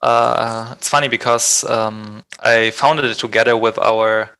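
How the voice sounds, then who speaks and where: Bright-voiced German man chatting. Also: medium pitch, fairly even intonation, a little slow, vocal fry, clear, resonant tone, low-quality recording. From southern Germany. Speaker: band vocalist, interview guest. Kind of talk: casual interview chat.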